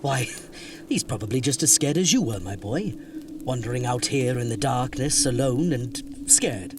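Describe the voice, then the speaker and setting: An actor playing a genteel older gentleman, formal and reassuring with a nervous edge, in a radio horror drama. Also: medium pitch, animated, moderate pace, background noise, close to an everyday voice. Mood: anxious, solicitous. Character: genteel, soothing older gentleman keeping frightened companions calm.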